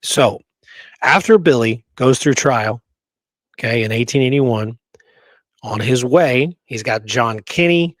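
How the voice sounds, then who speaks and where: A man's voice: mid-range voice, slow, pauses often, dry recording. A man casually telling and discussing history as a show co-host, emphatic and often checking in with "right?".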